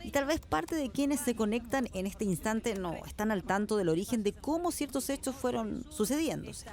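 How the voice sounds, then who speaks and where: A woman's voice: fairly high pitch, expressive, moderate pace, a little mellow. An actress playing a woman who narrates mysterious events in a scripted audio drama. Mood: grave.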